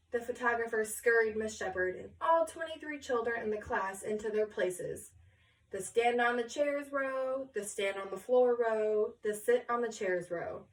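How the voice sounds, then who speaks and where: A woman reading a children's story aloud with expressive, deliberate phrasing, pausing to question her listener. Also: on the high side, distant mic, poor audio.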